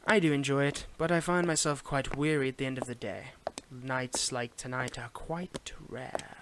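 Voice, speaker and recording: Man playing a soft-spoken courteous Victorian footman, noisy recording. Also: mid-range voice, little pitch movement, natural voice. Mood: polite, chatty. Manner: formal old-fashioned phrasing, gentle, halting, lapsing into whispers. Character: courteous, flirtatious Victorian footman, weary, gentlemanly. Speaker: audio roleplay performer. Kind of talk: intimate ASMR roleplay acting.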